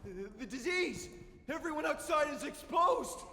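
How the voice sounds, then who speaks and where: A man's voice: very high voice, lively intonation, normal speed, gravelly, heavily acted. An actor playing a desperate, paranoid man who speaks in pleading, conspiratorial outbursts, in a game cutscene. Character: paranoid frantic man sheltering a woman. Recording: echoey room with loud music.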